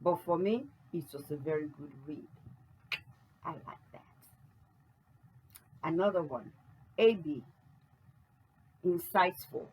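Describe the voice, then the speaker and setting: A woman who writes books, talking casually to camera in an unhurried way and reading reviews aloud. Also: low voice, a little mellow, slight background noise, poor audio. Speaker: author and book channel host.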